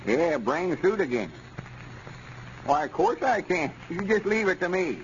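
An actor playing a pompous, folksy storekeeper with a rural Arkansas accent in a 1930s radio comedy. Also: male voice, sits high, very animated, unhurried. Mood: eager, self-important. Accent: Southern US, rural Arkansas. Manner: folksy, pompous, self-satisfied. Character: boastful, self-important rural storekeeper with lawyer ambitions. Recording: scratchy, muffled 1930s radio recording.

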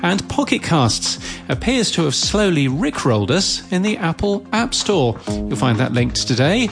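A man's voice: fairly high pitch, big pitch swings, a little slow, vocal fry. A man hosting a podcast news bulletin, reading from a script. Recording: music bed behind voice. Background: music.

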